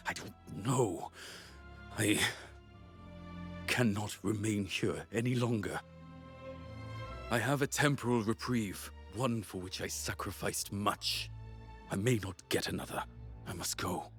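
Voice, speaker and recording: A man playing a solemn, regretful ancient wizard in a fantasy game cutscene, speaking in a grave, measured manner. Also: fairly low pitch, faint music behind. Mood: resigned, parting.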